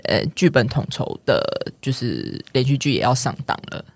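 Man, Taiwanese Mandarin accent, medium pitch, informal podcast talk, dry sound. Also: very animated, normal speed, no room sound. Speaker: podcast guest, theatre and publishing professional. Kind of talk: casual podcast chat.

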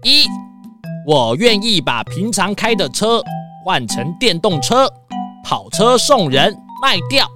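A woman's voice: mid-range voice, sing-song, slow, over music. A woman with a Taiwanese Mandarin accent playing a spoiled, pompous rich man in a children's radio drama, whiny, dramatic and pleading. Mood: grumbling.

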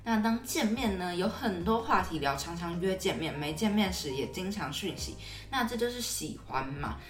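A woman's voice: fairly low pitch, lively intonation, normal speed, faint music behind. A woman co-hosting a podcast, explaining things in a conversational way with repeated connecting words and agreements. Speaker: podcast co-host. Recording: roomy echo, slightly distant mic.

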